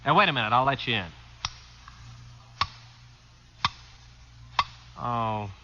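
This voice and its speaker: An actor in a 1950s radio drama playing an absent-minded, skeptical householder of the future, hesitant and muttering. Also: male voice, mid-range voice, expressive, quick pace, pushed and bright. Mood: distracted. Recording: muffled, hissy vintage radio recording.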